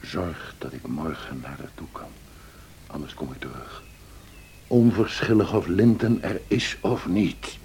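A man's voice: deep voice, a little slow, darkish tone. A man playing a brooding, vengeful, grief-crazed lover in a radio play, intense and theatrical, moving between muttering and outcry. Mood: low, insistent. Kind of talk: Dutch radio play acting. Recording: noisy old radio play recording.

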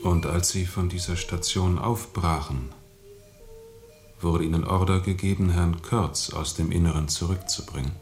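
Ein Schauspieler spielt in einem Hörspiel einen Mann, der gemessen und forschend nachfragt. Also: male voice, sits low, steady tone, normal speed, music in the background. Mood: probing, sober. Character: probing male listener questioning the captain about his journey. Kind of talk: radio drama dialogue.

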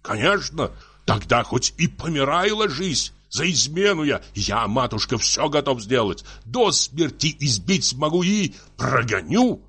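Man performing a tipsy jealous husband, storybook drama, raised husky voice, sputtering scolding, tearful bluster, swooping pitch. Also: big pitch swings, a little slow, a bit bright, heavily acted. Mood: pleading, warning. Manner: scolding, sputtering broken exclamations.

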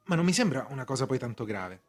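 A man playing a puzzled, courteous accused man with a husky voice, full of polite questions, in a radio drama. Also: mid-range voice, sing-song, fast. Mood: curious. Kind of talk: stage acting in a radio drama.

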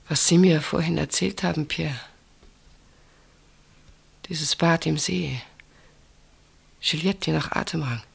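An anxious woman in a radio drama, afraid for her sister. Her husky, breathy voice has a creaky edge and urges and pleads. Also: deep voice, exaggerated voice. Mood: frightened. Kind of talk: German radio play acting.

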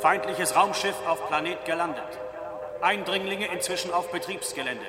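A man's voice: high-pitched, moderate pace, lightly acted. A man playing a stern, impersonal alarm announcer over a base tannoy in a radio drama. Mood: stern, urgent. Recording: echoing distant tannoy amid loud noise.

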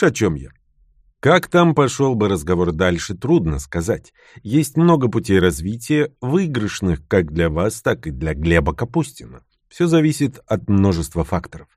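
A man with a low voice reads a scripted text aloud and acts out the quoted retorts with energy. His pitch swings widely from line to line. The sound is dry and close, with no room around it. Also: dry recording. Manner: animated reading with acted-out quoted retorts. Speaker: narrator. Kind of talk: scripted book narration.

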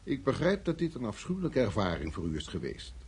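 An actor playing a harried senior security service chief in a Dutch radio drama, exasperated yet diplomatic, with formal, emphatic speech. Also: male voice, medium pitch, wildly swooping pitch, fairly quick, a little mellow, slightly rough, heavily acted. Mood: apologetic, placating. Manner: emphatic, formal, swinging from barking to placating.

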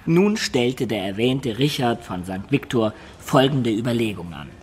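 A man's voice: mid-range voice, lively intonation, measured pace, darkish tone, a little hiss or hum, close to an everyday voice. An actor playing a wry medieval adventurer who recounts his youthful exploits in a radio drama. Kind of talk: narrating storyteller in German radio drama.

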